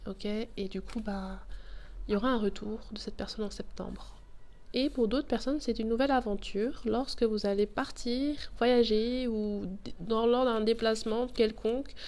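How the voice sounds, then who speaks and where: A woman's voice: a little high, fairly even intonation, moderate pace, darkish tone. A woman with a cold giving a casual tarot reading to camera, chatty and thinking aloud as she draws the cards. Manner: chatty, thinks aloud, laughs at her own fumbles. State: has a cold. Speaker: tarot reader.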